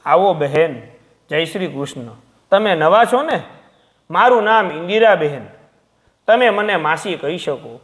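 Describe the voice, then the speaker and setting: A man voicing a serene, welcoming elderly woman in a moral story, with a calm, accepting manner. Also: high-pitched, measured pace, long pauses.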